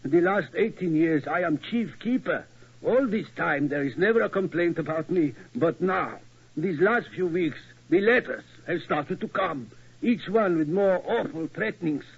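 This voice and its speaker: An actor playing an anxious elderly German tower keeper who speaks halting, broken English with a German accent, in a 1940s radio drama. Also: male voice, a little high, animated, creaky, slightly rough, exaggerated voice. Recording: dull old radio broadcast.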